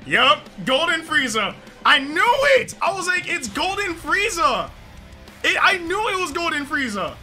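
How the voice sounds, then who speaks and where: A young man reacts to a game trailer on a headset, his voice pitched very high and strikingly bright. He drawls slowly between bursts of excited yelling, with faint trailer music playing underneath. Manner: hyped, yelling and laughing, slang-heavy. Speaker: gaming video host. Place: small home gaming room, indoors.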